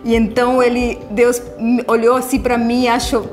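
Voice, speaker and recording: A middle-aged woman from Argentina with an Argentine Spanish accent, whose native language is Spanish, telling her personal faith story in an earnest, halting way with restarts and repeated words. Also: fairly high pitch, completely flat delivery. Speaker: church member giving testimony. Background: soft background music.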